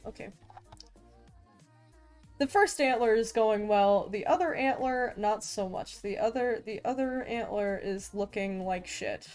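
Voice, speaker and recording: A woman's voice: mid-range voice, unhurried, darkish tone, soft background music. A young woman streaming as she draws, chatting casually with viewers and often stuttering and restarting her sentences. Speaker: VTuber art streamer. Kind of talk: casual live-stream chat while drawing.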